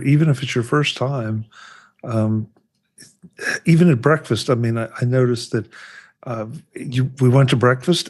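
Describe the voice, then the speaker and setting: Mid-pitched male host, friendly and upbeat, conversational. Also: darkish tone. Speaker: show host. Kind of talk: casual panel chat.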